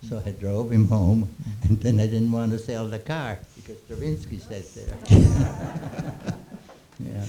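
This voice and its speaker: A man in his nineties, born in Austria with German as his first language, telling old stories in a halting, chuckling way with many restarts. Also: lowish voice, steady tone, heavy background noise. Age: 90s. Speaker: interviewee. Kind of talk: reminiscing Q&A.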